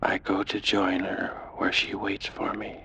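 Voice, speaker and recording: Male as a millennia-old Egyptian priest: low, monotone, formal, world-weary, vintage radio drama. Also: rough recording. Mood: grave, resolute. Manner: formal, slow, deliberate. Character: weary 3,500-year-old Egyptian priest, longing for death. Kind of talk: 1940s radio acting. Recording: vintage radio recording with background noise.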